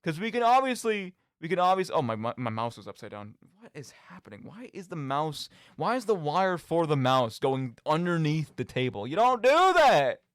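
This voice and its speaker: Animated man hosting, pitched a bit high. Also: normal speed, few pauses, dry recording, studio quality. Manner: animated, emphatic, often seeking agreement with "right?". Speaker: podcast and radio show host. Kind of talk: casual rambling video game commentary.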